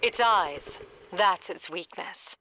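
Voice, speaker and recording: A woman's voice: on the low side, slow. An actress playing a composed female partner who gives mission intel in a video game. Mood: composed, wry. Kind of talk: English video game cutscene acting. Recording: clear background noise over voice.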